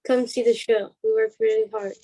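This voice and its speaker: A woman's voice: high voice, sing-song, a little fast, creaky, mellow, no room sound, low-quality recording. A young woman chatting casually and hesitantly as an interview guest. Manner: hesitant, trailing off. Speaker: interview guest, stage play cast member. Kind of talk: casual chat.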